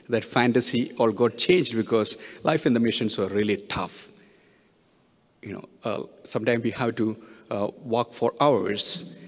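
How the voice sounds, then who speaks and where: Man preaching in a long echoing hall. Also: mid-range voice. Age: middle-aged. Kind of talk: homily with personal missionary stories. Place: church, at the pulpit.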